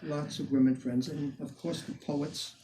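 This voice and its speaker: Older man, mellow, lively storyteller. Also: fairly high pitch, measured pace, slight background noise, rough recording. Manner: animated, enthusiastic anecdotes. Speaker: museum curator. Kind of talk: storytelling talk to an audience.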